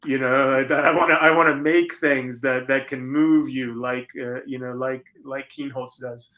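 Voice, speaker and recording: A middle-aged man with an American accent speaks reflectively in an interview, pausing thoughtfully and rephrasing as he goes. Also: medium pitch, fairly even intonation, bright, forceful tone. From United States, Florida. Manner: halting, thoughtful, repeats and rephrases. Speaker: interview guest, conceptual artist.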